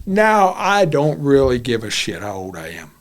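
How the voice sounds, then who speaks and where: A man's voice: mid-range voice, wildly swooping pitch, normal speed. Blunt, rambling man in his seventies. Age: 70s. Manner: candid, rambling, repeats words, blunt. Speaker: podcast host. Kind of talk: casual podcast monologue.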